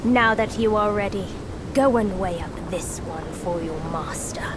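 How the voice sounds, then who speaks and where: A woman voicing a sly, gloating demon master in a video game cutscene, speaking in a slow, mocking, purring way. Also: medium pitch, lightly acted. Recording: game cutscene with loud ambient noise.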